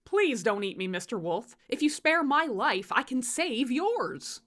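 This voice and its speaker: A woman voicing a small storybook raven who bargains for his life with emphatic, deliberate pleading. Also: fairly high pitch, big pitch swings, fairly bright tone, voice pushed up, heavily acted. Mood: pleading, persuasive.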